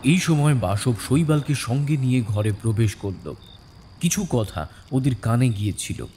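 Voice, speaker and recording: A man with a mellow, medium-pitched voice narrates a mystery story. His reading is scripted and dramatic with lively intonation, over faint background noise and ambience. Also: unhurried.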